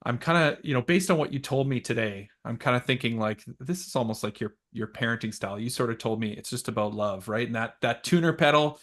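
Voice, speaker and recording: A man's voice: medium pitch, a little fast, hardly any pauses, a bit bright. A man hosting a podcast interview, talking in a casual, eager way and often checking in with "right?". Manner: eager, affirming, frequent "right?" and "yep". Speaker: podcast host.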